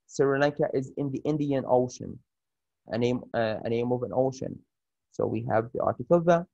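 A man with an Arabic accent teaching an English grammar lesson over a shared screen, pausing often with fillers and restarts. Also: mid-range voice, creaky, mellow, no room sound, highs cut off. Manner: halting, many fillers and restarts.

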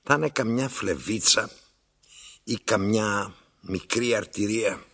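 An actor playing a pompous, cantankerous old master in a theatrical radio play, declaiming with halting repetitions. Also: male voice, lowish voice, bright, forceful tone, slightly rough, full character voice. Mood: brooding.

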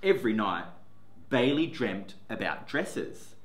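A male teacher in his forties reads a picture book slowly, with a lively rise and fall in his voice. He sits in a classroom, and the camera catches a roomy echo. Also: medium pitch. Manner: slow, expressive storytelling. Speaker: teacher reading aloud. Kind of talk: picture book read-aloud. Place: classroom, indoors. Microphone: camera in front, seated. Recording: echoey classroom.

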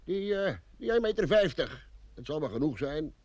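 An actor playing a naive, doubting villager, earnest and simple, in an old Dutch radio drama. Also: male voice, a little high, very animated, moderate pace, darkish tone, no echo, lo-fi sound. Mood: puzzled.

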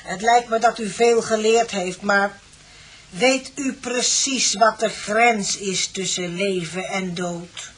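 Husky, ominous farmer's wife. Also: female voice, mid-range voice, slow pace. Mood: grave warning. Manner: hesitant, ominous warning. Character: uneasy, superstitious farmer's wife and landlady. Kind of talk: radio drama acting.

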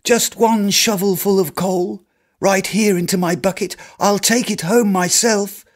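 A man's voice: high voice, steady tone, a little slow, pitched above the performer's own voice. A man voicing a freezing poor man who humbly pleads for coal, in a storybook reading. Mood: pleading.

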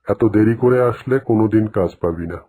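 A man voicing a stern, dismissive tiger employer with a husky voice in a cartoon. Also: on the low side, fairly quick, phone-quality sound, slight background noise, natural voice. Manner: blunt, dismissive. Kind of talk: cartoon dialogue.

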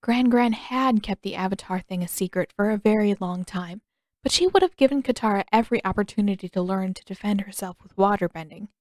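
A woman's voice: medium pitch, a little fast, darkish tone, no echo. A woman reading a fan fiction story aloud as scripted audiobook narration. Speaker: podfic narrator and author.

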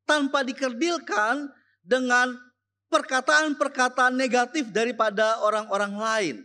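Man, high voice, slow pace, emphatic repeated phrases, chapel sermon. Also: pushed and bright. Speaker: chapel speaker and teacher. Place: school chapel.

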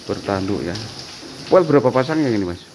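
A man's voice: fairly low pitch, expressive, brisk. A man vlogging a walk through an outdoor cattle barn, chatting with a worker and repeating answers back, with a faint hum around him. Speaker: vlogger touring a cattle farm. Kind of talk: casual walk-through vlog with interview.

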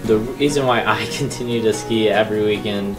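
A man's voice: on the low side, steady tone, brisk. A young man reflecting casually in a documentary interview. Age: teens to young adult. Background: guitar music.

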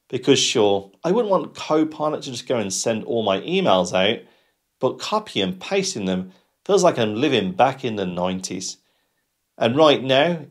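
A man in his forties hosting a software tutorial in a presenter style. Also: medium pitch. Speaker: tutorial host.

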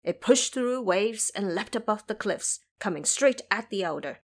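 A woman narrating a scripted audio drama in an expressive, literary storytelling manner. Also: mid-range voice, normal speed.

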